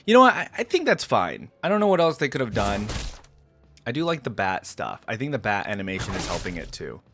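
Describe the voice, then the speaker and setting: A man's voice: lowish voice, huge pitch swings, fairly quick, pushed and bright, faint music behind. A man hosting a casual reaction commentary, speaking informally and musing aloud. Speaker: reaction video host.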